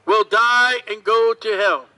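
Man, street preacher, very high and piercing, slow drawn-out open-air sermon. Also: sharp tone, clear, resonant tone. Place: outdoors at a street market.

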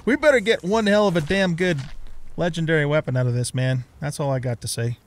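A man's voice: on the high side, fairly quick. A middle-aged man giving casual, reactive gameplay commentary, talking half to himself and half to the game. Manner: talks to himself and to the game, mild swearing. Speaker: let's play host.

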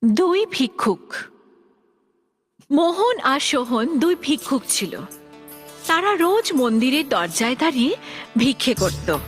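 High-pitched, animated woman storyteller. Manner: expressive, deliberate storytelling. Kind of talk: scripted children's fable narration.

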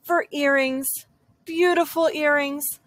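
A woman with a high voice reads a children's storybook aloud. She takes it slowly, stretching key phrases for dramatic effect. The sound is dry, with no room echo. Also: fairly even intonation. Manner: dramatic, drawn-out emphasis on repeated phrases. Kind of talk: expressive children's picture-book read-aloud in a young girl's first person.

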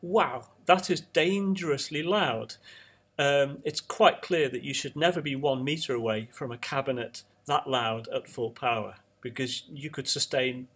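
Guy with a slightly raspy voice walking you through calculator steps, easygoing. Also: a little high. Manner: relaxed, talks through each calculator step. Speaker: audio tutor. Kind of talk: screen-recorded calculation tutorial.

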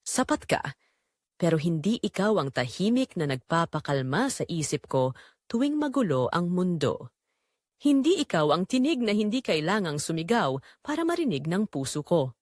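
A woman performs as a young woman in love in a romance audio drama. She is tender yet firm and earnest, with expressive pitch. The sound has very little echo. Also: normal speed, studio quality.

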